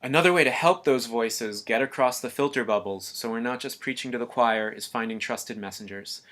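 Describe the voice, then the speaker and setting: A man with a mid-range voice narrates an instructional video in an even, measured way. He explains each point earnestly, like a scripted lesson read from notes. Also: mid-range voice.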